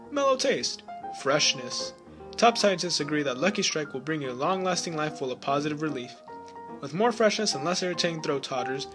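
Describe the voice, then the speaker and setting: A man delivers an upbeat, salesman-style radio commercial in a slightly high voice. Clear music plays beneath the pitch. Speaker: ad announcer.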